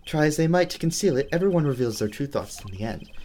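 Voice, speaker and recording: A man voicing a calm, cryptic older mentor in a video game, knowing and measured. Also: a little high, close to an everyday voice. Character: cool, cryptic older male mentor, former lawyer and poker player. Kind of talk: video game dialogue read aloud.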